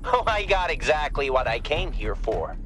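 A man's voice: on the high side, sing-song, normal speed, fairly bright tone. An actor playing an arrogant, cruel male tech boss villain in a video game, contemptuous and threatening. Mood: coldly threatening. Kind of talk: video game cutscene acting.

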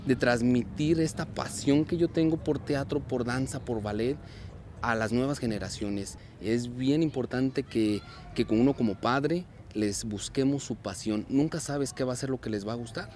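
A man in his thirties from Mexico, a dance and theater group director, speaking earnestly and at a measured pace in an outdoor interview, with frequent fillers and restarts. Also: medium pitch, a little mellow, slight background noise. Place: outdoors at a street parade. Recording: outdoor clip with music behind. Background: festive music.

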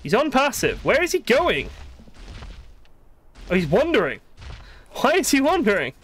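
Animated high-voiced man streaming a game. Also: unhurried, breathy edge. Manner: excitable, exasperated muttering to self and game creatures. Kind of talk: casual live gaming commentary with mild swearing.

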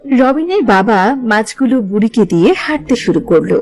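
A woman's voice: on the high side, sing-song, a little fast, darkish tone. A woman reading scripted narration for a cartoon story.

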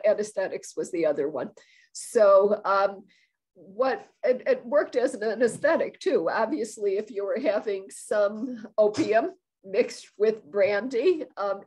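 A woman's voice: medium pitch, a little mellow, rough recording. An older woman with a cold, sounding a little nasal, giving a chatty, rambling talk over a video call from a room at home, with frequent ums and restarts. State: has a cold. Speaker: guest historian. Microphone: video call webcam.

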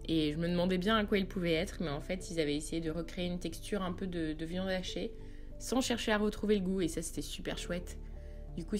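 Low-pitched woman chatting through a travel vlog. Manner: informal, confiding, addresses the viewer directly. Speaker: travel vlogger. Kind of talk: chatty voice-over narration of a road trip. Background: background music.

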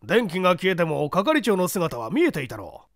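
A male reader acts out a stern judge from a mystery story. The voice sits a little high and is recorded dry. He probes bluntly and with authority. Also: huge pitch swings, normal speed, no room sound, heavily acted. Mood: stern, probing. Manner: blunt, commanding, probing. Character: stern male examining judge grilling a witness.

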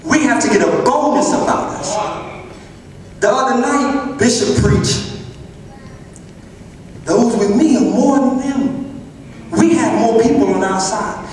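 A young man preaching an impassioned sermon to young people, emphatic and repetitive, often raising his voice toward a shout. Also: very high voice, a little slow, pauses often. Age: young adult. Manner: emphatic, repeats phrases, often raises voice to a shout. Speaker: youth preacher. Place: large church hall, indoors. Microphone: handheld microphone through PA, filmed by distant camera. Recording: echoey hall from far off, crowd behind.

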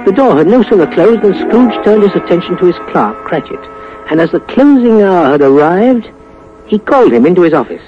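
Old radio drama narrator guy, very animated n suspenseful, music behind him. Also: high-pitched. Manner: dramatic, suspenseful storytelling with pauses. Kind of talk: scripted 1950s radio storytelling. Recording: muffled 1950s radio recording.

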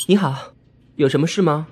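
A man's voice: a little high, slight background noise. A man playing a naive, polite young male office worker in an audio drama, hesitant and boyish. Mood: puzzled, polite.

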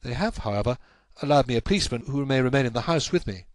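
A man voicing an anxious, helpless young gentleman in a storybook, recounting his troubles in an earnest, measured, formal way. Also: mid-range voice, expressive, dry recording, studio quality, close to an everyday voice. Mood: resigned. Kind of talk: audiobook character acting.

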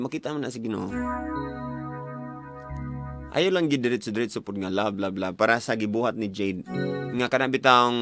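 Loud instrumental music plays under a young man with a Cebuano Filipino accent. He gives advice like a radio host, conversational and emphatic, repeating words for stress. His pitch sits in a middle male range, close on the mic. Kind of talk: live radio advice talk, switching between Cebuano and English. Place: small radio studio. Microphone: close studio boom mic, headphones. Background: loud instrumental music with guitar and keyboard.

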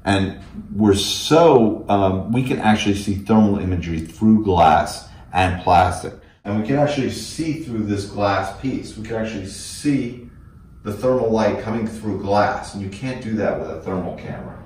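Male technical presenter, American, middle-aged, very low voice, recorded with plenty of room echo. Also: lively intonation, normal speed, darkish tone. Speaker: company representative presenting technical products. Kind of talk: technical product demo and explanation. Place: indoors in a small office meeting room. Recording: echoey room.

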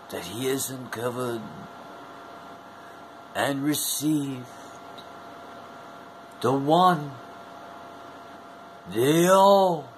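A man's voice: medium pitch, a little hiss or hum, low-quality recording. A man in his forties delivering a theatrical wrestling-promo monologue to camera as a bombastic showman, drawing out his words. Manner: bombastic showman, drawn-out words, sudden whoops. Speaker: wrestling fan video host. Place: small room at home.

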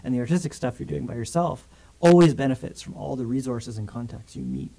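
A man's voice: mid-range voice, a little fast, gravelly. A man in his thirties from Canada, a filmmaker guest chatting in an interview in a small studio, talking animatedly on a condenser mic. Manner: animated, gestures a lot with hands while talking. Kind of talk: casual interview chat. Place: small studio with grey backdrop. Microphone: studio condenser mic on stand.

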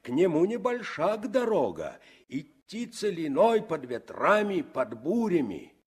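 An actor playing an earnest, kindly revolutionary sailor, teaching emphatically, in a 1960s radio drama. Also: male voice, high-pitched, wildly swooping pitch, slightly rough, exaggerated voice. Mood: earnest, instructive. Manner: didactic, emphatic, deliberate.

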